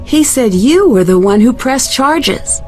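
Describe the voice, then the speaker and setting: Young woman detective, game dialogue, calm, direct, inquisitive, slightly high voice, faint music. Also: a little slow. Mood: probing. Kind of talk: video game character dialogue.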